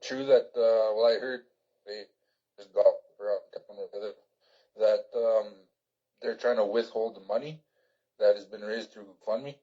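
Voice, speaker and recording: A man's voice: lowish voice, fairly even intonation, a little slow, warm tone. A man chatting casually over CB radio. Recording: dull lo-fi CB radio.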